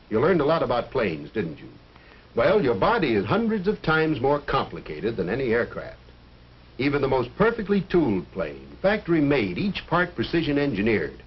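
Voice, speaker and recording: A man's voice: mid-range voice, breathy edge. A man playing an earnest, fatherly coach and biology teacher who lectures in 1950s educational film style. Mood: earnest advising. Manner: didactic, earnest, explanatory. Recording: muffled, noisy old educational film soundtrack.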